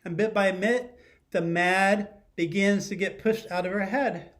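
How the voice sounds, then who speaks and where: Slow, high-pitched man reading to children. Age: middle-aged. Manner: expressive storyteller, pausing to ask listeners questions. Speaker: teacher. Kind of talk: read-aloud of a children's picture book. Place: living room at home.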